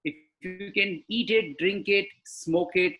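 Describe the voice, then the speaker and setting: A man's voice: high-pitched, slow, bright, forceful tone. A man, speaking as a panelist on a live webinar over a video call, explaining in a conversational way and asking rhetorical questions. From India.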